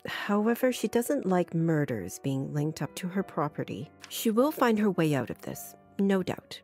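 A woman playing a composed, protective vampire mentor in a video game, measured and matter-of-fact. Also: fairly low pitch, very animated, a little mellow. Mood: calm, confiding. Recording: music behind the voice.

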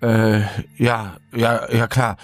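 A man's voice: medium pitch, little pitch movement, takes it slowly, soft background music, voice pushed up, natural voice. A man voicing a nervous, hesitant young grandson in a horror story, stammering and apologetic.

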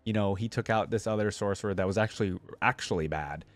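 Young male reaction streamer, on the low side, casual think-aloud commentary, dry close-miked sound. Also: quick pace, no room sound. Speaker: reaction video host. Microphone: close desk microphone on arm.

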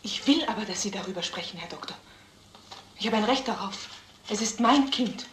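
A woman acting as a hurt, indignant mother from an old crime film. Her questions are hushed and pointed. The room echoes, with light noise behind her. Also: mid-range voice, animated, fairly quick, lightly acted. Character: indignant, wounded mother demanding the truth. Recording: roomy film soundtrack with background noise.

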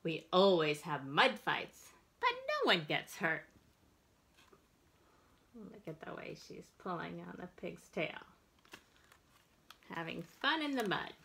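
A middle-aged American woman hosting a children's storytime, reading aloud and explaining in an expressive, deliberate way for young listeners. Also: lowish voice, a little hiss or hum. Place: indoors, plain-walled room.

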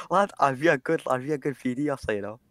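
A man's voice: medium pitch, quick pace, fairly bright tone. A British man chatting in casual online roleplay as a lawyer, with slangy, joking, exaggerated banter.

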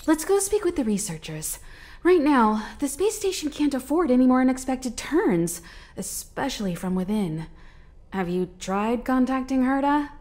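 An actress playing a poised, mature woman crew leader in an anime game, calm, measured and decisive. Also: high-pitched.

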